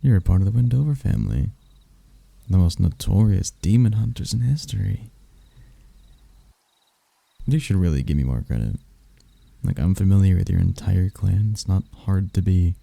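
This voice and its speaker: A man playing a suave, arrogant demon prince of lust in an intimate ASMR roleplay, with a gravelly, breathy voice and a smooth, taunting manner. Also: sits low, warm tone, no room sound, exaggerated voice. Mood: teasing, intrigued, then smug, annoyed. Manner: smooth-talking, taunting, unhurried, dropping into whispers. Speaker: voice actor.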